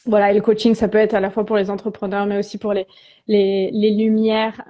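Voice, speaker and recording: A woman in her thirties, a guest business coach, talking reflectively in a casual live-stream conversation, often pausing and restarting her sentences. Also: mid-range voice, flat delivery, muffled recording. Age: 30s. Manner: reflective, halting, many restarts and fillers.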